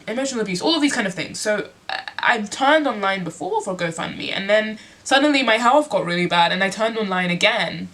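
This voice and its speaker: A young woman talks openly in a personal vlog. Her voice is sniffly and congested from a cold. She is reflective and unhurried, leaning on frequent "like" fillers as she gathers her thoughts. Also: mid-range voice, fairly bright tone. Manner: reflective, heavy use of "like" fillers. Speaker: musician and performer.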